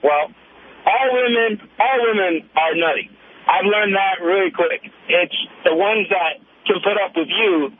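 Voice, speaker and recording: High-voiced man on a phone line. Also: measured pace. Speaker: radio show caller. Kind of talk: casual phone-in chat. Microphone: telephone. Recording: thin noisy phone line on radio.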